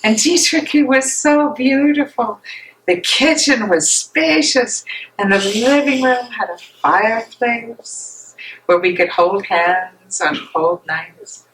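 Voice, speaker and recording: A woman's voice: a little high, expressive, slow pace, a bit bright, a little hiss or hum, rough recording. A woman playing a tender, grieving fiancée in a dramatic stage reading, earnest and pleading as she longs for her beloved. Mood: wistful.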